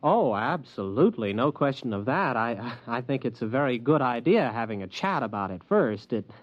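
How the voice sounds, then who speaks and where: A man's voice: mid-range voice, sing-song, normal speed, dry recording. An American man acting in a 1950s radio drama as a reluctant, skeptical young man, whiny and sardonic. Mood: reluctant, awkward. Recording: dull dry vintage radio recording.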